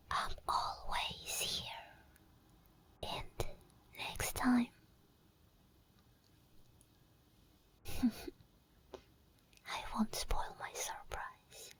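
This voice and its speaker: Casting: a woman as an obsessed female night demon for ASMR, whispery, higher-pitched, teasing with intimate menace. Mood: quietly menacing. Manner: slow, deliberate, intimate taunting with drawn-out pauses.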